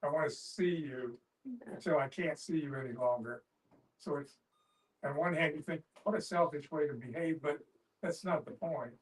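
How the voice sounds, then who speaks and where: A man making a point in a group discussion, halting and restating himself. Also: on the high side. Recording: distant microphone.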